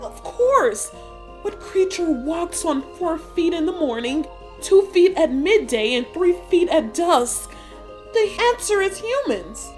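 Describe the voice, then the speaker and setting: Woman as a high-pitched, distraught young princess. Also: very animated, slow, full character voice. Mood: bewildered. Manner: high, emotional, exclamatory. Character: twelve-year-old princess, distraught, impulsive, indignant girl. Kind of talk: dramatic audiobook fairy tale acting. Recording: music behind the voice. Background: music.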